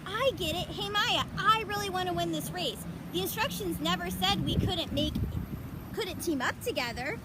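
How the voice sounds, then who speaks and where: An eager young storybook schoolboy , voiced by a woman reader, earnest and excitable. The voice sits very high, and loud background noise runs underneath. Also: moderate pace.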